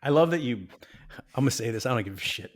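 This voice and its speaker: A man co-hosting a relaxed podcast, mid-pitched and loose with his language. He laughs often between points and slips into a sincere, reflective tone when he talks about trust and feeling safe with friends. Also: fast talker. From Mexican-American. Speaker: podcast co-host. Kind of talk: casual chat.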